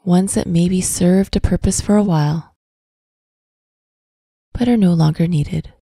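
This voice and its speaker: A woman narrating a scripted guided visualization in a soft, breathy, soothing voice, with deliberate phrasing and long pauses. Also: lowish voice, no echo, studio quality. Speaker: guided meditation host.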